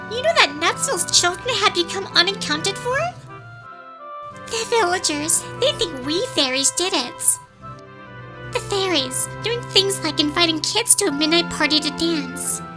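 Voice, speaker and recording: A woman voicing a small, eager, chatty fairy in a fan-dubbed video game. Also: very high-pitched.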